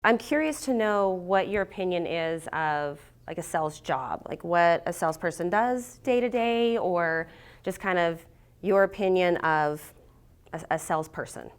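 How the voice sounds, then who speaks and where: A woman's voice: on the low side, expressive, a little slow, clear, resonant tone. A middle-aged woman getting over a cold, giving a conversational talk to a business group as guest speaker. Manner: conversational, with fillers and restarts.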